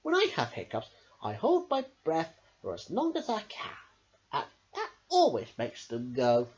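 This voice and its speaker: Woman as a confident, lively storybook pufferfish. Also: low voice, measured pace, husky, lo-fi sound, full character voice. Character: helpful pufferfish, confident and friendly.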